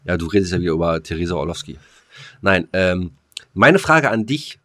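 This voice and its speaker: A man with a German accent bantering casually as a podcast host, in a halting, rambling way that often stumbles. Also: low-pitched, animated, pushed and bright. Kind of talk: casual banter between friends.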